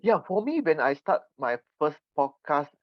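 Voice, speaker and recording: A Malaysian man, a native Mandarin speaker, answering podcast interview questions earnestly and haltingly as he searches for words. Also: on the high side, sing-song, no room sound. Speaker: podcast guest. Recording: dull, slightly lo-fi remote connection.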